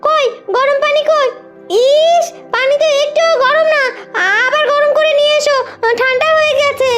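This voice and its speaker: A woman's voice: extremely high pitch, fairly even intonation, normal speed. A woman voicing a spiteful, whiny young sister-in-law in a cartoon, demanding and nagging. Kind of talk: exaggerated cartoon voice acting. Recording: loud music under the voice.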